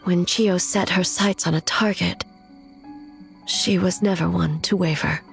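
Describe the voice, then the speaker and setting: A solemn, resolute shogun with music behind. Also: female voice, on the low side, fairly even intonation, creaky. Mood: grave, measured. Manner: measured, formal, grave. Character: solemn, resolute female shogun, ancient warrior ruler. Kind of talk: video game cutscene acting.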